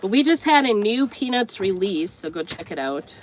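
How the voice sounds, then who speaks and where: A woman's voice: mid-range voice, very animated, normal speed, a little hiss or hum, rough recording. An older woman with a stuffed-up, congested voice, chatting casually and thinking aloud as she works through a craft tutorial. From United States. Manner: chatty, rambling, thinks aloud while working. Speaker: craft vlogger.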